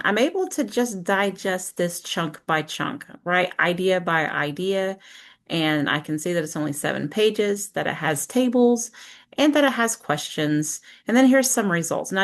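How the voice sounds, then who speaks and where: A woman teacher giving an informal screen-share walkthrough, thinking aloud step by step in a conversational way. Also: lowish voice. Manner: conversational, explains step by step with self-questions and check-ins.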